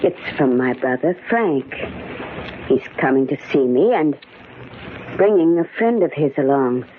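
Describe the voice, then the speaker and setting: A woman's voice: deep voice, lively intonation, measured pace, slight background noise. An actress playing an anxious, embattled invalid lady, narrating and acting in a fraught, dramatic old-time radio style. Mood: guarded, bitter. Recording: tinny old radio recording.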